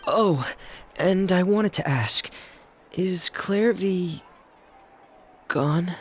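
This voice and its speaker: An actor playing a somber, earnest young man in a video game, speaking in a measured, reflective way. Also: high-pitched, slight background noise. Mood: calm, relieved. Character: somber soft-spoken young man, orphan raised in harsh house. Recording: game audio under music.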